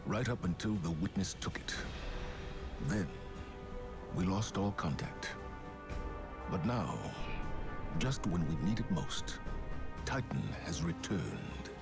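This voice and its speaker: An actor playing a grave, resolute military commander, giving orders and briefings in a solemn, measured, authoritative way for a video game. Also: male voice, deep voice, very animated, creaky, over music, exaggerated voice.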